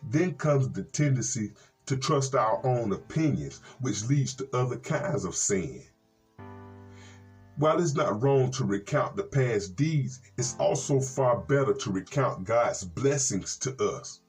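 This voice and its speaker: An American man, a reverend hosting a Bible program, reads scripture and commentary in a measured, earnest way with folksy asides. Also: medium pitch, expressive. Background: plucked guitar music.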